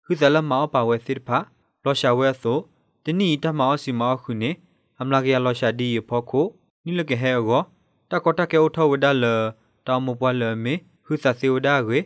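A male news reader delivers a scripted radio bulletin in a medium-pitched voice. The sound is dry and close, with no room around it. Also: steady tone, normal speed, clean tone, no echo.

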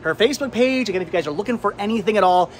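Enthusiastic, rambling man, higher-pitched voice. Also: animated. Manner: enthusiastic, rambling, halting repetition of words. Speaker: vlog host. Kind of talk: casual chatty vlog narration. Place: large indoor flea market and warehouse loading area. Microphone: handheld camera at arm's length.

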